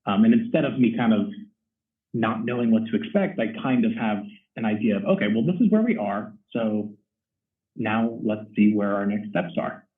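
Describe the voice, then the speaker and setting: A young man from Texas, a first-year choir teacher, talks through his answers with plenty of ums and restarts. His voice sits a little low. He is heard over a distant, echoey, phone-like line. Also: lively intonation, moderate pace. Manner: rambling with many ums and restarts. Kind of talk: casual interview chat.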